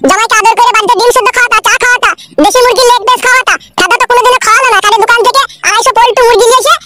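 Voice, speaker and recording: A woman's voice: very high voice, steady tone, racing, sharp tone, no room sound. A woman voicing an aggrieved, foul-mouthed young cartoon son-in-law who argues and complains sarcastically. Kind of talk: crude comedy cartoon dubbing.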